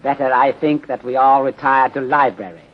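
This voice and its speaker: An actor playing a polite, patient police inspector in a 1930s radio serial, speaking formally and measuredly with clipped grammar that drops articles. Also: male voice, mid-range voice, moderate pace, close to an everyday voice. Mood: courteous, considerate. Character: polite, patient Chinese-born police detective from Honolulu. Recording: muffled, noisy 1930s radio recording.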